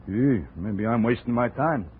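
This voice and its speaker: A man's voice: medium pitch, animated, measured pace, lightly acted. An actor playing a down-on-his-luck private eye in a 1950s radio drama, chatty and full of street slang. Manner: chatty street slang, keeps saying "see". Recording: tinny old radio broadcast, faint hiss.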